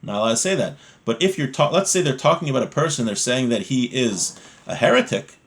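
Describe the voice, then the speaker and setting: A man's voice: mid-range voice, animated, brisk, gravelly. A man giving an informal religious lesson, reading from a text and explaining it in plain words, often asking questions and answering them himself.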